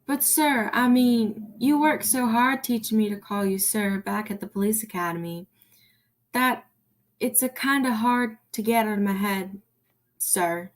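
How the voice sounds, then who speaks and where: A woman's voice: a little high, measured pace, natural voice. A teenage girl acting a nervous, eager, naive rookie police officer in a student radio play. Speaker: student actor.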